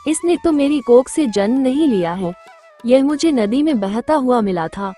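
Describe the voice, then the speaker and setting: A woman voicing a harsh, scolding village stepmother in a moral-story cartoon, with a sharp, threatening manner and music underneath. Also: high voice, animated, moderate pace.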